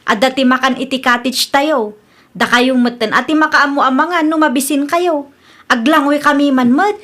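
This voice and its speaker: Woman acting as brisk young niece, high-pitched, self-assured, bossy, Ilocano accent, radio comedy drama. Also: a bit bright. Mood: cheerful.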